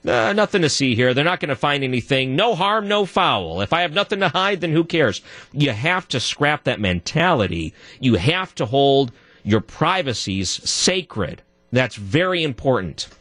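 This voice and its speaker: A man with a deep voice, guest hosting a talk radio show and arguing his point alone, his voice jumping higher when he gets worked up. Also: moderate pace, no echo. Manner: animated, voice jumps high when worked up. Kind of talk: solo talk radio monologue.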